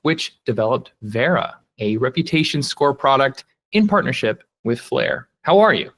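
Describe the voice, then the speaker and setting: A man's voice: medium pitch, very animated, moderate pace, fairly bright tone. Upbeat male podcast host. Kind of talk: scripted interview intro.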